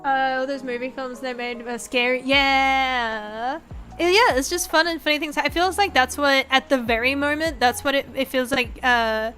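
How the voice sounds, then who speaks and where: 20s streamer girl, high bright voice, rambly sweary casual chat, bit of music in the back. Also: normal speed. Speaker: streamer, VTuber host. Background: background music.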